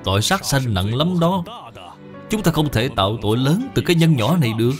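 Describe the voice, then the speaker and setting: Man voicing an earnest medium-pitched businessman. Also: very animated, fairly quick, faint music behind, natural voice. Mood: pleading. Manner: polite, earnest, pleading. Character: earnest, conscientious Buddhist businessman, adult son. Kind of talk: dubbed moral cartoon dialogue.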